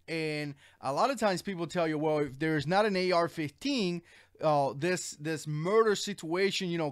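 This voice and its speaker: A man born in Cuba, hosting his own livestream and giving his opinions in an earnest, rambling way, with many fillers and restarts. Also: fairly high pitch. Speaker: channel host. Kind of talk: casual livestream commentary.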